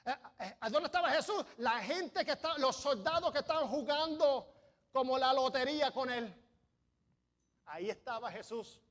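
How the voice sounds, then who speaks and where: A high-pitched man delivering a church sermon. He is not a native speaker, sometimes trips over a word, and pushes his voice up toward emphatic peaks as he preaches. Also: expressive, normal speed, fairly bright tone. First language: not Spanish. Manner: preaching with rising emphasis, some stumbles over words. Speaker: pastor. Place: church sanctuary.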